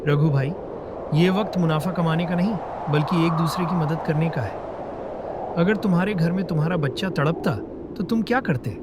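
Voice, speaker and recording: Man playing a desperate cartoon village dad, slightly high voice, heavy hiss behind. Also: normal speed, close to an everyday voice. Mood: pleading. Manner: pleading, earnest. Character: poor desperate village father, pleading and earnest. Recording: loud background hiss.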